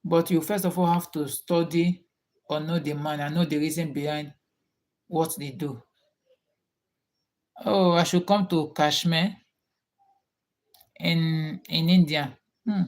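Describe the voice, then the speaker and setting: A man with a Nigerian accent hosts a casual live chat with his viewers. He talks at an unhurried, drawn-out pace. He often repeats his questions while waiting for replies. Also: a little high, fairly even intonation. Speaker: livestream host.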